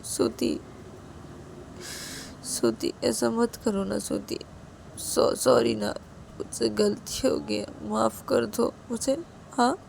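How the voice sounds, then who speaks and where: A woman voicing a tearful young woman who begs and pleads, her voice pitched high while crying. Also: steady tone, slow pace, creaky, pauses often, darkish tone, slight background noise, poor audio. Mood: tearful pleading. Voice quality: high, weepy and begging.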